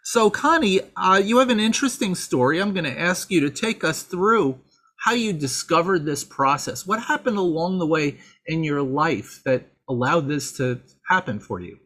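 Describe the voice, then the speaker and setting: A man hosting a podcast, introducing a guest and reading a promo in a measured way. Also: fairly high pitch, animated, a bit bright. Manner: measured, spells out web addresses slowly. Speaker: podcast host.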